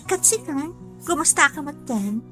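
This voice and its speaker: High-voiced young working woman. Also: lively intonation, unhurried, pushed and bright, full character voice. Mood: friendly, resigned. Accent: Ilocano. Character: self-respecting young club worker supporting her sibling. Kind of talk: radio drama acting. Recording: radio drama with music underneath.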